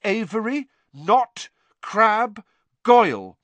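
A man's voice: high voice, sing-song, extremely slow, fairly bright tone, no room sound, pitched above the performer's own voice, exaggerated voice. A man voicing an urgent, defiant teenage boy wizard who insists on being believed.

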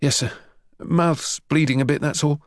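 A nervous, skinny schoolboy from an audiobook, acted by a man. His voice is lifted in pitch and protesting, defiant though outmatched. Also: steady tone, moderate pace, no echo, clean studio sound, close to an everyday voice. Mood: subdued. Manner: breathless, protesting.

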